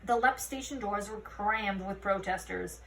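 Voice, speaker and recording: A woman reading a children's fantasy novel aloud as an expressive storyteller. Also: medium pitch, measured pace. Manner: expressive storytelling, acting out dialogue. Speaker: read-aloud narrator. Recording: roomy, distant mic, faint noise.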